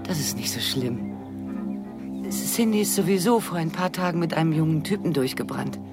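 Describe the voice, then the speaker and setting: An actress playing an eerily calm, detached middle-aged wife in a radio crime drama, chatting softly and serenely. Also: female voice, low voice, moderate pace. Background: music.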